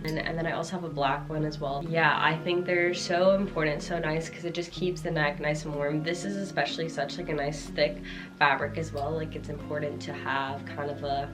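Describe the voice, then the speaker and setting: A young woman vlogger chatting casually about her clothes, a little croaky from a cold, with lots of fillers. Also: low voice. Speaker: fashion vlogger. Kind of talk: casual chat showing clothes. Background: lo-fi music.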